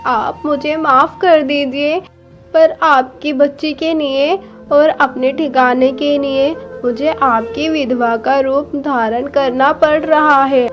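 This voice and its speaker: A woman's voice: high voice, fairly even intonation, slow pace, soft background music. A woman voicing Tuntuni, an anxious young female bird who pleads tearfully, on dull lo-fi audio. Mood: guilty.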